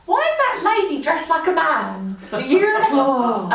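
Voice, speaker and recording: A woman's voice: high voice, sing-song, normal speed, crowd noise. Young woman, chatty host, laughs a lot, distant mic, echoey room. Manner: chatty, laughing, asks questions. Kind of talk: casual family chat.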